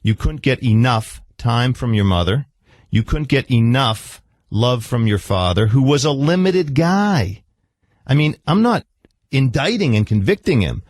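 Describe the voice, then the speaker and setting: A man's voice: medium pitch, expressive, measured pace, a little mellow, no echo, clean studio sound. An American man working as a therapist in a conversational interview, probing with questions and reflecting answers back. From Marblehead, United States. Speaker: therapist conducting an on-air session.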